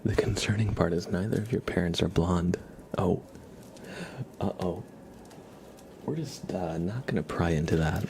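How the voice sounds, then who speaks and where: A man hosting an ASMR livestream, speaking softly and casually to viewers in a gentle, rambling, hesitant way. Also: unhurried, long pauses, slight background noise. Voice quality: soft, breathy whispering with creaky low undertone. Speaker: livestream host.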